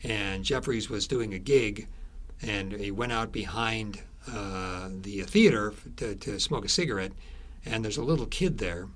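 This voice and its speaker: Halting, low-voiced man telling stories. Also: a bit bright. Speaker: author being interviewed. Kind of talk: conversational storytelling in an interview.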